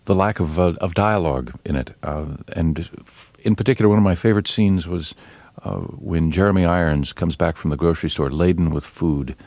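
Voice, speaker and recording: Low-voiced guy interviewing, careful questions, dry close sound. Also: darkish tone, no echo. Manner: measured questions with some fillers.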